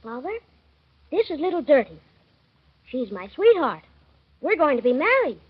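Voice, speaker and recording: A man voicing an eager, excited little boy in a 1930s radio play, talking in a breathless, piping way. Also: very high voice, normal speed, pitched above the performer's own voice. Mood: earnest. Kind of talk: 1930s radio drama acting. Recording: dull lo-fi 1930s radio recording.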